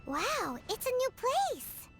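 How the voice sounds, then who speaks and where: A woman's voice: very high voice, huge pitch swings, slow pace, over loud music, heavily acted. An actress voicing a tiny, childlike girl companion in a video game, chirpy, eager and exclamatory. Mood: excited, curious. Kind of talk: scripted video game dialogue.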